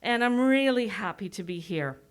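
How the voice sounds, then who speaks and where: A woman's voice: medium pitch, expressive, moderate pace. A middle-aged woman with a Canadian accent giving an informal, self-deprecating public presentation, speaking through a mask. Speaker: executive director giving a presentation. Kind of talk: public presentation, partly read from notes.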